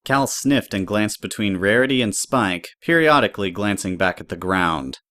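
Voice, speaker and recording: Female narrator, very low pitch, dry close sound, scripted storytelling. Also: expressive, moderate pace, a bit bright, dry recording.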